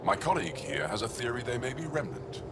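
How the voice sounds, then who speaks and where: A man's voice: mid-range voice, very animated, fast talker, lightly acted. An actor playing a devoted alien scientist who explains his research earnestly. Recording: loud background noise.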